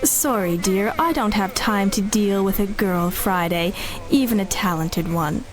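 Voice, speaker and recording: A woman's voice: medium pitch, a little slow, faint music behind, lightly acted. An actress playing a cool, menacing female agent, condescending and assured, in 1930s-style radio drama. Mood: cool menace.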